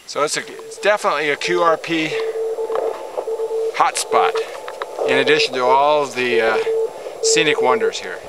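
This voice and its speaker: A man's voice: medium pitch, normal speed, pauses often, heavy background noise. Enthusiastic middle-aged man, outdoor camera audio. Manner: enthusiastic, admiring, fillers. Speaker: ham radio hiker. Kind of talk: casual outdoor narration. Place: outdoors on a desert canyon trail.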